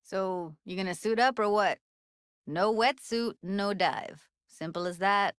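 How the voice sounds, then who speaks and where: A woman's voice: mid-range voice, measured pace, clean tone, no room sound, studio quality, close to an everyday voice. A woman playing a wry, tender young streetwise techie in a video game, with casual, clipped, slangy delivery. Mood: brisk teasing. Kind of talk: video game character dialogue.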